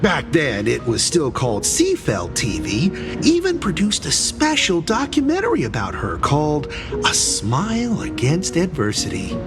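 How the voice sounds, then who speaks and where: A man's voice: on the high side, wildly swooping pitch, a little slow, over music, exaggerated voice. A man voicing an over-cheerful, gushing townsman in a video game, with effusive salesman patter. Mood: gushing, upbeat. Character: gushing, over-cheerful male street vendor townsman devoted to his idol. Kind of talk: animated video game voice acting.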